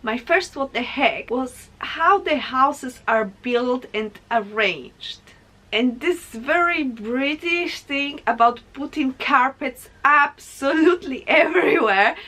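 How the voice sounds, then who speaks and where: A woman's voice: high voice, lively intonation, slow pace, sharp tone. A young woman from Poland with a Polish accent, talking casually and animatedly to viewers as a vlogger. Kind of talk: casual vlog monologue to camera.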